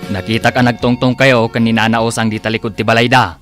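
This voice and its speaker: A man's voice: mid-range voice, flat delivery, fast talker, a bit bright, soft background music, lightly acted. A man with an Ilocano Filipino accent playing a reproachful, principled village husband in a radio comedy drama. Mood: accusing. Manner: admonishing, earnest.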